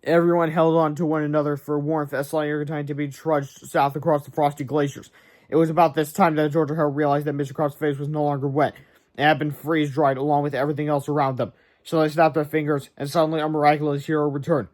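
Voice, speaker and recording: A man's voice: on the high side, little pitch movement, fast, darkish tone. A man reading a children's chapter book aloud as storybook narrator, with asides, stumbling over words now and then. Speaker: storybook reader. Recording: very noisy amateur recording.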